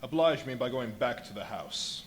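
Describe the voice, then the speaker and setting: A man's voice: medium pitch, lively intonation, moderate pace, lightly acted. A man playing a menacing invisible villain in a live radio play, giving curt, commanding threats. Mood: cold menace. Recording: live stage recording, faint noise.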